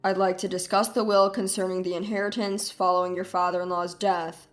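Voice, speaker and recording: Male family lawyer voiced by a woman, pitched down, measured, formal, storybook drama. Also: little pitch movement, soft background music, close to an everyday voice. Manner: measured, official.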